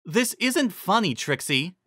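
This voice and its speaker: A performer voicing a brisk, exclamatory, observant female pony in a fantasy story reading. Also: mid-range voice, sing-song, sharp tone, no room sound, clean studio sound, pitched above the performer's own voice, full character voice. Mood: annoyed. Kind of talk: dramatic fan fiction reading.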